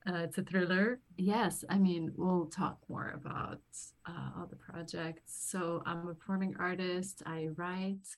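A woman's voice: on the low side, level tone, a little slow, few pauses. A woman in her thirties from Lebanon, chatting casually as an interview guest and often laughing as she talks. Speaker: guest, performing artist and acting teacher.

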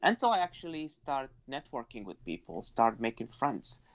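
A man's voice: medium pitch, very animated, normal speed. A man from Iraq, a guest telling his life story in an earnest, reflective way and laughing easily. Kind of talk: personal life story in conversation.

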